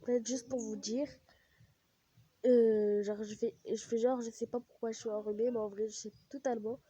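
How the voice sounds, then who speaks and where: A woman's voice: on the high side, little pitch movement, moderate pace, dark tone, breathy edge, no echo. A young woman with a cold chats casually and rambles with many fillers while she plays a game. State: has a cold, coughing. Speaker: gaming vlogger.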